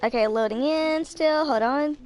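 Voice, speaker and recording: High-voiced young woman streaming games. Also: normal speed, a little mellow. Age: 20s. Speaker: game streamer. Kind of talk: casual livestream chat while gaming.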